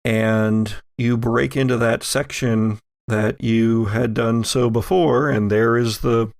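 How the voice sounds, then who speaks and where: Brief: male game master for horror tabletop show, gravelly medium-pitched voice, very dry recording. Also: fairly even intonation, slow pace, no room sound.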